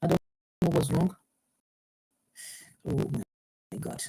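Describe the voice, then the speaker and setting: A woman's voice: slow, dark tone. Flustered woman hosting an online talk. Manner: flustered, troubleshooting aloud, many fillers and restarts. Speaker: host of an online program. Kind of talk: live online talk show. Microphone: earpiece.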